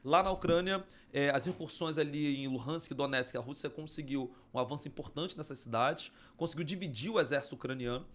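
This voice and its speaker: A man's voice: on the high side, brisk, few pauses, a bit bright. A man from Brazil co-hosting a news podcast, explaining current events in a conversational way. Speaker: podcast co-host. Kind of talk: casual news-discussion chat.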